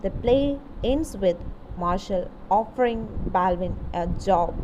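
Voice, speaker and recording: A woman delivers scripted educational narration at a slow pace, breaking it into short, deliberate phrases. She explains like a literature teacher. The recording has clear background noise running under her voice. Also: medium pitch, expressive, darkish tone, lo-fi sound.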